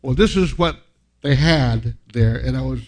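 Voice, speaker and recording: A man in his seventies giving an informal sermon as a guest pastor, telling personal stories in a halting, self-deprecating way. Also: medium pitch. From San Francisco, United States, born to a Filipino family. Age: 70s.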